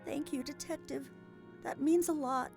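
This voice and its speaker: A woman playing a grieving, hesitant farm widow in an audio drama, speaking in a halting, emotional way. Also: high-pitched, fairly even intonation, darkish tone, soft background music. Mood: subdued, grateful. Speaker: voice actor.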